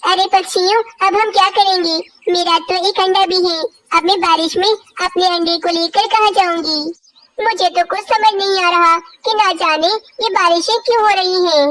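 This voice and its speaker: A woman's voice: extremely high pitch, fairly even intonation, moderate pace, fairly bright tone. A woman voicing childlike cartoon forest birds in a children's moral story, with exaggerated, simple storybook delivery. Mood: worried. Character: cartoon forest birds, scheming, envious and put-upon, squeaky and childlike.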